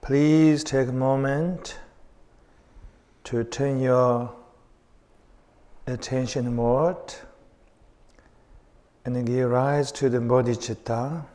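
A man leading a guided meditation as a teacher, speaking in deliberate, halting phrases with long pauses. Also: medium pitch, steady tone, warm tone.

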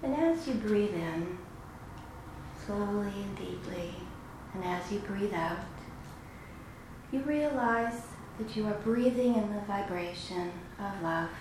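A woman leads a guided meditation, giving gentle instructions with long pauses between phrases. Her mid-pitched voice rings in a very reverberant room. There is clear noise behind her. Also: lively intonation. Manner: slow, soothing, instructive delivery with long pauses. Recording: very echoey room, clearly noisy background.

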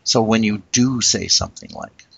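A man co-hosting a casual discussion, talking in an earnest, reflective way and slowing down to stress his points. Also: on the low side, huge pitch swings, creaky, a bit bright, slightly rough, dry recording, dull audio. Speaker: podcast co-host.

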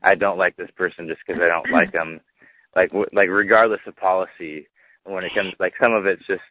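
A man hosting a casual political chat, measured and summarizing, with many fillers. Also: sits low, fairly even intonation, dry recording. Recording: tinny phone-like line, dry.

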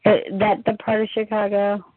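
A woman's voice: medium pitch, flat delivery, moderate pace, no room sound. A woman on a phone chat line, brash and mocking, swearing freely. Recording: tinny dry phone line.

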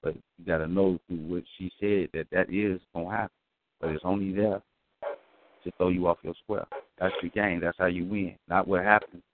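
Deep voiced guy cohosting a call in show, fast and emphatic, sounds like a dry phone line. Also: steady tone. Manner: loose, emphatic street talk. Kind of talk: casual spiritual chat.

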